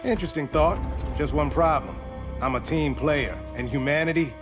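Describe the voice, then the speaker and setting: An actor playing a blunt, proud cyborg hero in a video game, with a dry delivery. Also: male voice, a little high, normal speed, music in the background, lightly acted. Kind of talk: video game cinematic acting.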